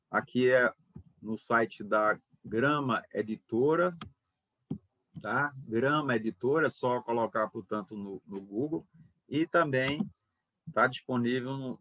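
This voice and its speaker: Male moderator, measured and hesitant, with muffled, dry video call sound. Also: mid-range voice, fairly bright tone, no room sound. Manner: measured, hesitant, many fillers. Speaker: event moderator and book organizer. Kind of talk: online book launch closing remarks.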